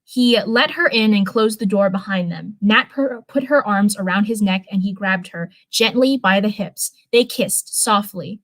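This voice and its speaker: A woman's voice: medium pitch, measured pace, few pauses, fairly bright tone, dry recording, poor audio. A woman reading fan fiction aloud in a casual livestream style, with chatty, rambling asides. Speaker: live streamer reading fanfiction.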